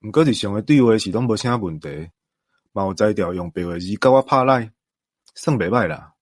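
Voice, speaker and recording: A low-voiced man with a Taiwanese accent talks things over in an earnest, emphatic way. The recording is close and dry. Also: lively intonation, brisk, dry recording. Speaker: commentator. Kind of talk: conversational discussion.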